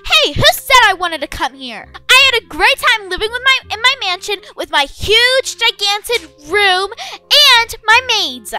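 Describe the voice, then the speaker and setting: A woman's voice: extremely high pitch, sing-song, a little slow, full character voice. A woman voicing a spoiled, mean young girl with haughty, sneering taunts in an amateur gaming roleplay. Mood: haughty, taunting. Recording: background music under the voice.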